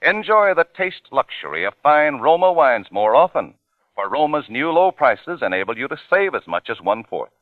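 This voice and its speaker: An American man working as a commercial announcer, reading a 1940s radio advertisement with polished, measured, emphatic salesmanship. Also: mid-range voice, very animated, no echo. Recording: narrow-band old radio recording, dry.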